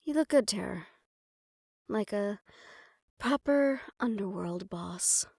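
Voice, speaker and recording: A woman's voice: medium pitch, lively intonation, takes it slowly, gravelly, darkish tone, no room sound, clean studio sound, full character voice. A woman playing a composed but anxious mafia boss's right-hand assistant in an intimate audio roleplay. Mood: pleased, nervous.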